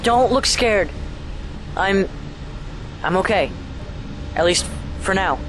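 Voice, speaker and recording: A man's voice: sits high, lively intonation, slow pace, noisy background. A man acting in an audio drama as a troubled, possessed son, intense and dramatic. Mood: resigned, weary. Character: troubled possessed son, menacing then resigned.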